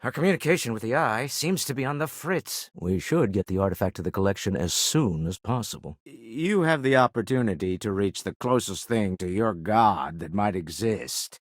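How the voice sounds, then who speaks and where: An urbane, moneyed older businessman with a taste for exploration in a video game. His voice sits fairly low and moves through lively, expressive swings. The phrasing is genteel, measured and wry, captured in a very dry studio sound. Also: dry recording. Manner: refined, measured, dryly witty phrasing. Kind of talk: video game character dialogue.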